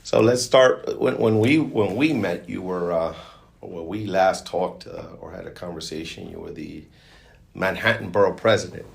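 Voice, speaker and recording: Gravelly low-voiced male podcast host. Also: moderate pace. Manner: conversational, repeats and rephrases questions. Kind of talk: interview questions.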